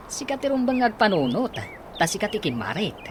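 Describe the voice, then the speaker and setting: A man's voice: high-pitched, big pitch swings, a little fast, background noise. A man acting an easygoing young friend in an Ilocano radio drama, with an Ilocano accent. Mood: teasing.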